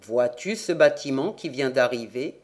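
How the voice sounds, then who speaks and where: A reader voicing an old king who is irritable and quick to anger, speaking in a gruff, blustering way. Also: mid-range voice, expressive, pitched below the performer's own voice, lightly acted. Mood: indignant.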